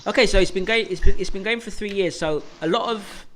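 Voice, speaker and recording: Host: relaxed man, slightly high voice, hesitant and chatty, faint noise behind. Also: fast talker. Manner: laid-back, hesitant, frequent "um" and "yeah, man". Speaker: interview host. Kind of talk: casual interview.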